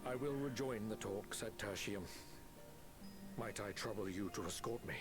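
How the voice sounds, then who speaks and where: An actor as a deep-voiced, dignified older magistrate. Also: male voice, close to an everyday voice. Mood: stiff, proud. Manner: formal, stately, deliberate. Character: proud, stiff, dignified older magistrate, humbled. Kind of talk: video game voice acting. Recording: game music behind the voice.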